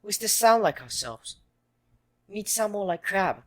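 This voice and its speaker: A woman's voice: medium pitch, lively intonation, moderate pace, lightly acted. A woman playing an earnest, cautious schoolboy wizard in an audio drama. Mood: urgent.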